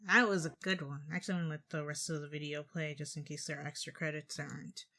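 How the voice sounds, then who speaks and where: A man's voice: fairly high pitch, flat delivery, fast, pushed and bright. A man giving casual, off-the-cuff reaction commentary as the host of a video.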